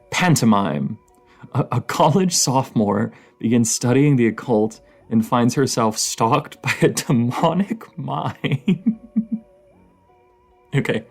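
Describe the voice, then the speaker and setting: Male actor as nerdy horror-buff boyfriend: unhurried, gravelly edge, rambling and teasing, intimate roleplay audio. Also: medium pitch. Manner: rambling, giggly, hesitant, teasing. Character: dorky, playful young romantic partner, horror film nerd.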